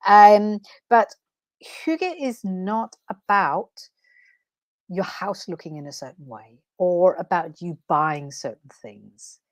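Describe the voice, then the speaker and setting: A woman's voice: lowish voice, no echo. A woman with a Danish accent, a transformation coach, chatting unscripted and a little nervously on her first livestream, passionate, with fillers and self-corrections. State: slightly nervous.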